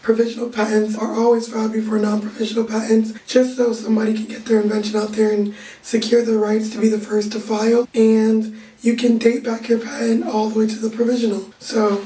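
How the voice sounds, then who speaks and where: A young woman, a legal intern, with a raspy, hoarse voice, casually recapping her week and explaining legal points plainly. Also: fairly high pitch, little pitch movement, fairly quick, mellow. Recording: roomy echo, distant from the mic.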